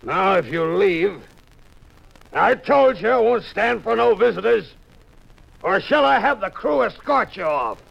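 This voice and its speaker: An actor playing a gruff, hostile ship's captain in a 1950s radio drama, curt and commanding, on an old muffled recording. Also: male voice, high voice, expressive, measured pace, a bit bright, full character voice.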